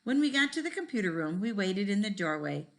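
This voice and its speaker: A woman reading a children's story aloud like a teacher, expressively, pausing to wonder aloud with her listeners. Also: medium pitch. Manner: animated, pauses to pose questions to listeners.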